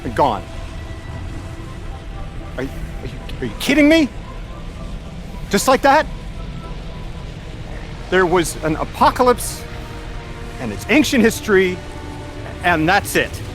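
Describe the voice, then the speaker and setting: A man's voice: high-pitched, wildly swooping pitch, slow, long pauses, full character voice. A man voicing an earnest, anxious translator in a video game, speaking in a hesitant, stammering way. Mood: distraught. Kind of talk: naturalistic video game voice acting. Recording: music behind the voice. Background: music.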